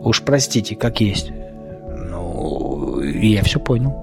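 A man hosting a podcast, talking in an informal, opinionated monologue with rhetorical questions and halting, colloquial phrasing that repeats words. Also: low-pitched, sing-song, gravelly, over music. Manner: argumentative, poses rhetorical questions. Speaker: podcast host.

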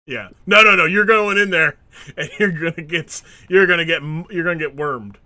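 A man co-hosting a casual podcast chat, animated, acting out quotes and laughing often. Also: on the high side, moderate pace, no echo. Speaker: podcast co-host.

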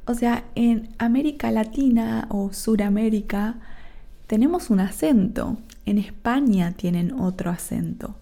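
A woman's voice: on the high side, creaky, mellow. A woman from Argentina with an Argentine accent, hosting a Spanish lesson podcast for learners and checking in often with her listeners. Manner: slow and careful, checks in with "¿sí?". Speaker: Spanish teacher and podcast host.

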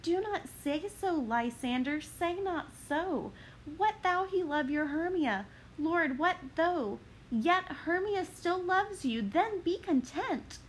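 A woman's voice: extremely high pitch, lively intonation, slow pace, a little hiss or hum, full character voice, poor audio. A woman voicing a desperate, lovesick young woman, pleading urgently in dramatic verse.

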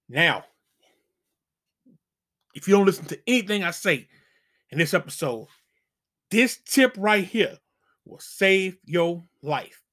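A man's voice: sits high, fairly bright tone. A middle-aged man hosting a channel, giving casual dating advice as an emphatic, preachy warning full of slang.

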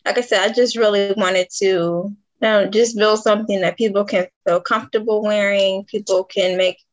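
A woman's voice: medium pitch, dull audio. Giggly American woman chatting informally. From Detroit, Michigan, US. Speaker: interview guest, fashion designer and author. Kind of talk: casual interview chat.

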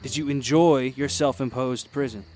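An actor playing a confident, philosophical mentor in a radio drama, with a teasing, cryptic manner. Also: male voice, mid-range voice, fairly even intonation, normal speed, a little mellow, slight background noise, natural voice. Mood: wry, enticing. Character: cryptic, wry, confident male adventurer and mentor. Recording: music behind the voice.

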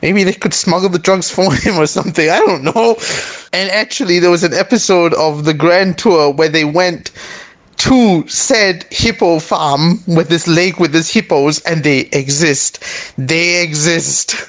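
A man co-hosting a podcast in casual chat, animated and laughing often. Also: on the high side, unhurried. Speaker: podcast co-host.

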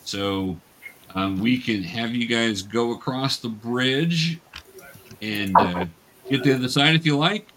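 A man's voice: mid-range voice, a little slow, a bit bright, a little hiss or hum. A man acting as game master, casually narrating and patiently explaining the game to new players in an encouraging way.

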